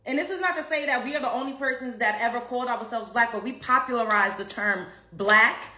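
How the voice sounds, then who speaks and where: A woman's voice: fairly high pitch, brisk, bright, forceful tone. A woman, ranting bluntly and profanely as an online commentator and comedian. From Brooklyn, New York. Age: 30s. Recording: roomy echo.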